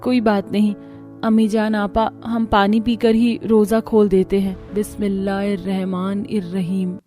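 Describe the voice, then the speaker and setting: A woman playing a gentle, pleading young daughter-in-law. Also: mid-range voice, little pitch movement, unhurried, soft background music, close to an everyday voice. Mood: meek. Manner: polite, pleading. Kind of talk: Hindi cartoon story acting.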